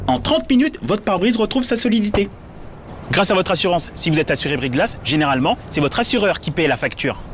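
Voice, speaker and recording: A man reading a scripted advertisement in a clear, persuasive sales manner. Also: sits high, animated, quick pace, a little hiss or hum. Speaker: advertising spokesman. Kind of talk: scripted radio ad read.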